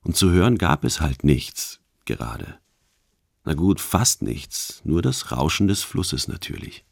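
A self-conscious man narrates a radio play in a very deep, crackly voice, close and dry. He muses haltingly and colloquially, wry and a little awkward. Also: very animated, creaky, husky, no room sound, heavily acted. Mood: awkward, musing.

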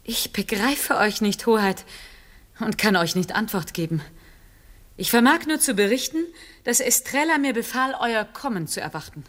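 A woman's voice: a little high, lively intonation, normal speed, fairly bright tone. Troubled, proud noblewoman. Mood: guarded dissembling. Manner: declamatory, emotional, formal. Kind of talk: classical verse radio drama.

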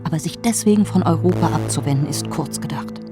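An actress playing a solemn ghost from the postwar past in a literary radio play, speaking in a measured, grave way. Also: female voice, sits low, natural voice. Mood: grave, reproachful. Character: solemn ghost of 1948, lamenting forgotten ideals. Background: music.

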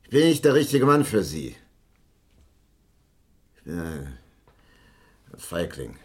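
A man acting in a German radio crime drama as a bitter, washed-up, hard-drinking theatre director, halting and repetitive, with a rough, husky voice. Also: deep voice, sing-song, exaggerated voice. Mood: resigned, cynical. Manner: slow, halting, repeats himself, swinging between mutter and outburst.

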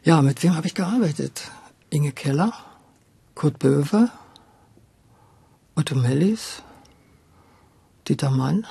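A man's voice: on the high side, animated, takes it slowly, creaky, pauses often, warm tone, slightly rough, no echo. An older man from Berlin reminisces in a conversational interview, reflective and hesitant, often correcting himself as he goes. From Berlin, Germany. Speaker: interviewee, radio drama director.

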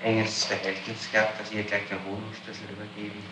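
A man formally questioning someone as an interrogator, probing and deliberate, on an old 1960s tape. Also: lowish voice, completely flat delivery, roomy sound, recorded from a distance, noisy background.

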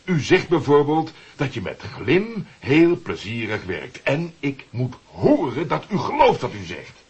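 Very husky, businesslike radio producer. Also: male voice, mid-range voice, wildly swooping pitch, a little slow, full character voice. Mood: instructing. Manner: matter-of-fact, instructing. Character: businesslike, impatient male radio producer coaching an amateur. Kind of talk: naturalistic radio drama acting. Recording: slightly dull radio drama recording.

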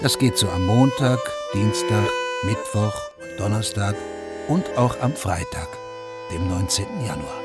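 A man narrating a radio drama from a script in a factual, measured, documentary-like way. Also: lowish voice, lively intonation. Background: music.

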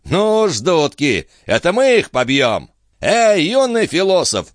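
A man's voice: mid-range voice, big pitch swings, normal speed, fairly bright tone, no echo, clean studio sound, pitched above the performer's own voice. A man voicing an overbearing, cool-headed viscount in a storybook reading, with a barking, insolent manner. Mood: furious, bellowing. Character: brash, cool-headed, overbearing male viscount, quick to threaten.

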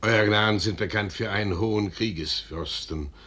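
An actor playing a blunt, reserved colonel sent as envoy in a 1950s radio play, with formal, deliberate stage diction. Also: male voice, fairly low pitch, bright, forceful tone. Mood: measured, probing.

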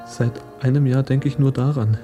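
An actor playing a sensitive, idealistic young revolutionary in a German radio play, speaking earnestly and with passion. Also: male voice, medium pitch, fairly even intonation, moderate pace, warm tone, echoey room, soft background music, close to an everyday voice. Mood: impassioned. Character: idealistic, sensitive young male revolutionary who loves life.

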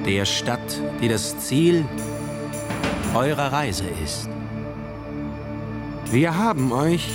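An actor in a radio play as the solemn herald of a forbidding city, speaking in measured, portentous phrases. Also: male voice, medium pitch. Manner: slow, measured, portentous delivery. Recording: loud music under the voice. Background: new-age music.

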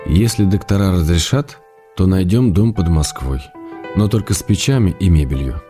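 An actor playing an affectionate, self-restrained husband reading his letters in a radio play, in a measured, wry manner. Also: low voice, animated, mellow, faint music behind.

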